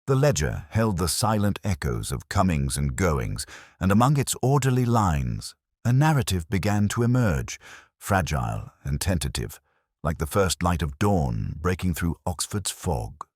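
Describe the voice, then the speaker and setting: Very deep-voiced man narrating a mystery. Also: very animated, darkish tone, breathy edge, no room sound, clean studio sound. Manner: measured, literary, reflective. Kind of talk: scripted first-person detective mystery narration.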